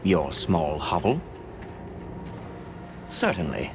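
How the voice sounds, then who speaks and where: A man's voice: low voice, sing-song, slow, background noise, full character voice. A man playing an eccentric little wandering creature guide in a video game, with florid, archaic, philosophical phrasing. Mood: calm, cryptic. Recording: loud music behind.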